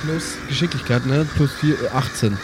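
A man chatting casually as a player in an improvised tabletop game. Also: normal speed. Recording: clear rumbling ambient noise behind.

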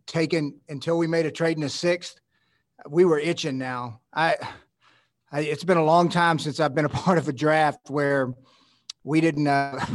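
A middle-aged man, a team executive, answering at a press conference in a rambling, conversational way with frequent restarts. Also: on the high side.

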